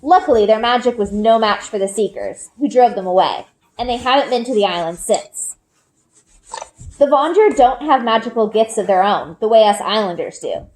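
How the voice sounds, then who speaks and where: A woman reading a children's book aloud in an expressive storytelling style. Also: on the high side, moderate pace, low-quality recording. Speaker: read-aloud reader. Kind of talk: expressive children's book narration, first person. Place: group read-aloud with elementary pupils.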